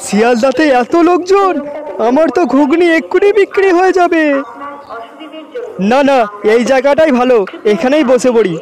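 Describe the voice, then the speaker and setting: Very high-pitched cartoon street snack seller. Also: male voice, expressive, normal speed, pauses often, a crowd in the background, low-quality recording. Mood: eager. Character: quick-tempered village man selling ghugni street snacks. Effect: pitch-shifted cartoon voice. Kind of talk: comedy cartoon dialogue.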